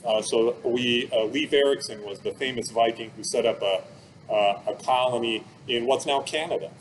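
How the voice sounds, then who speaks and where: A man's voice: medium pitch, normal speed. Man explaining casually, noisy background. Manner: casual, plain-worded explanations with everyday comparisons. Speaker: museum expert and presenter. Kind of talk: informal explanatory talk for children.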